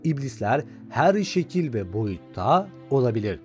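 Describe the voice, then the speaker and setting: A man's voice: mid-range voice, sing-song, measured pace, a little mellow. A man reading scripted recap and review narration as a video narrator. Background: background music.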